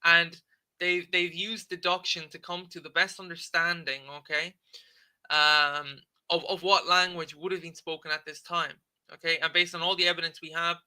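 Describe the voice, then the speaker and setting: A man's voice: fairly high pitch, flat delivery, normal speed, bright, forceful tone, clear, resonant tone. A man hosting a livestream, explaining a text in a casual lecture and often checking in with "okay?". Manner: conversational, frequent "okay?" checks and filler "um". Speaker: livestream debate host.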